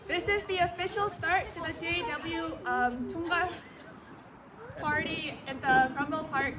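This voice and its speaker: A woman's voice: very high-pitched, fairly even intonation, unhurried. A woman giving an earnest prepared speech to a crowd at an outdoor park gathering. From Seattle, USA. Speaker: event speaker. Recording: distant, noisy outdoor recording.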